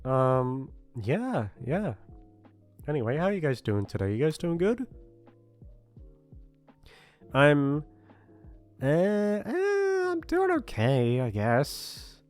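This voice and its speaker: A man chatting casually and awkwardly to his listeners as a channel host, rambling and self-deprecating, with many halting pauses. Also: a little high, huge pitch swings, darkish tone, soft background music. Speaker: voice actor hosting his channel. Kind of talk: rambling casual outro chat.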